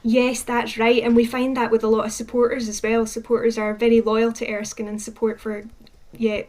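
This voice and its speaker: A woman's voice: a little high, little pitch movement, moderate pace, a little mellow. A woman with a Scottish accent giving explanatory answers as a charity manager in a radio interview.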